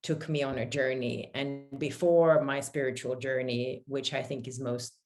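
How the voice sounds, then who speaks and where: A woman in her forties with a very deep voice speaks as an interview guest. She is from Finland and grew up in Paris. Her storytelling is candid, reflective and conversational. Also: fairly even intonation, normal speed, over music. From born in Finland, grew up in Paris, France. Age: 40s. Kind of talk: casual, reflective personal storytelling.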